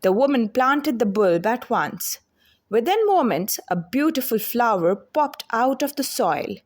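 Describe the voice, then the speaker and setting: Expressive woman storyteller with a lively pitch. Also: unhurried. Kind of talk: scripted children's fairy tale read-aloud.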